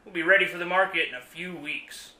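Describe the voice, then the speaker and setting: A man's voice: a little high, fairly quick, bright, forceful tone. A man playing a hearty, gallant, folksy cowboy hero in a spoof western radio serial. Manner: hearty, gallant, self-assured. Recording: roomy echo.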